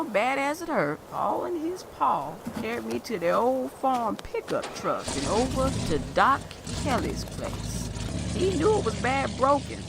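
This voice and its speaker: A woman's voice: medium pitch, creaky, background noise. A woman playing a folksy older country lady who wryly recounts her childhood in an audio drama. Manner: folksy, wry storytelling.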